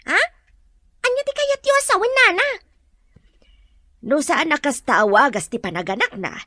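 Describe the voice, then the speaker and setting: Casting: woman to play a gossipy Ilocano village woman for radio-style drama; bright voice, swooping delivery, exclaims often. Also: sits high, huge pitch swings, normal speed, no room sound, studio quality, heavily acted. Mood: scandalized. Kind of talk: Filipino Ilocano audio drama acting.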